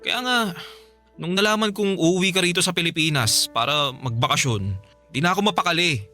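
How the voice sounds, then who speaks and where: Radio drama ex: young male, desperate and lovesick, rapid, emotional pleading over soft music. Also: fairly high pitch. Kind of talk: Filipino radio drama acting.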